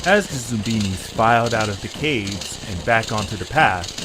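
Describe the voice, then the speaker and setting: A man's voice: fairly low pitch, animated, normal speed, loud music behind the voice. A man narrating a scripted audio story as its narrator. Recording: faint background noise.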